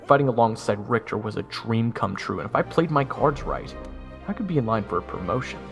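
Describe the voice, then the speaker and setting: Man playing a lively, wry young soldier narrator. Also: fairly low pitch, brisk, vocal fry. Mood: wry narrating. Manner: wry, self-deprecating storytelling. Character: eager, nervous young male soldier narrating his own adventure. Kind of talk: first-person fantasy audio drama narration. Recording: orchestral game music behind.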